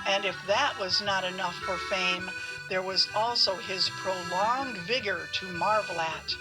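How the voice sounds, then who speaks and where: A woman narrating a fantasy audio play in a measured storybook style. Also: medium pitch, music in the background, lightly acted, rough recording. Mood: measured, wry.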